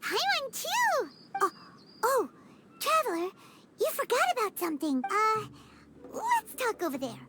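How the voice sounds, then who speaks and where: A woman voicing a small, chatty, excitable fairy companion in a video game, with a squeaky childlike voice and a bubbly, exclamatory way of talking. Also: unhurried, long pauses, heavy background noise, full character voice. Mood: flustered. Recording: loud game music behind.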